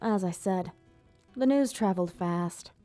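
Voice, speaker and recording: Woman acting an elegant, dark-voiced vampire ruler. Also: lowish voice, soft background music, lightly acted. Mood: grave, disdainful. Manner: formal, measured, theatrical diction. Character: elegant female vampire ruler, proud yet tender. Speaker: ASMR roleplay performer. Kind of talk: ASMR roleplay, scripted monologue to listener.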